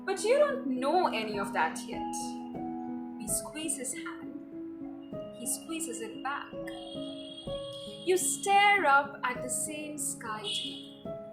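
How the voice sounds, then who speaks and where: A woman giving a dramatic reading of literary prose, speaking deliberately with expressive pauses. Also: high voice. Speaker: amateur reader performing a dramatic reading. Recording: roomy echo, distant mic, loud music behind. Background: loud instrumental music.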